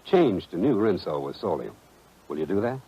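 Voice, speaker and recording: Old-time radio ad guy, husky and animated, sells straight to the listener, dull vintage sound. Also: low-pitched, moderate pace, very noisy. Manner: direct, persuasive address to the listener. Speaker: announcer. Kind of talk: 1940s radio advertising pitch. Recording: muffled vintage radio recording.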